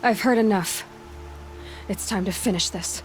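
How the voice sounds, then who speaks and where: A woman's voice: mid-range voice, a little slow, faint music behind, lightly acted, low-quality recording. An actress playing a resolute young female hunter in a video game, speaking firmly and directly. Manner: firm, direct, composed.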